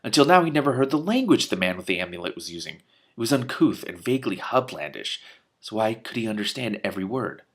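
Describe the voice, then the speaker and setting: A man's voice: on the low side, very animated, quick pace. A man reading a comic novel aloud, stumbling and restarting on words and breaking into disbelieving outbursts. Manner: breaks off narration with loud disbelieving swearing. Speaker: reader of a novel with commentary.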